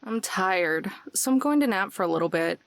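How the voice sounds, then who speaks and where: A woman's voice: medium pitch, expressive, moderate pace, creaky. A woman voicing a laid-back, dry, unbothered young woman in a visual novel game. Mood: casual, unbothered.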